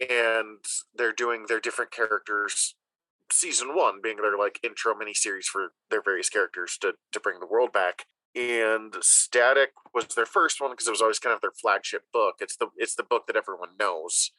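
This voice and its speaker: A man hosts a casual podcast in a very bright, forceful voice. The sound is dry, with hardly any room around it. He talks informally and with enthusiasm, swearing now and then. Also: on the low side, moderate pace, dry recording, poor audio. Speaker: podcast host. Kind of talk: casual chat, giving recommendations.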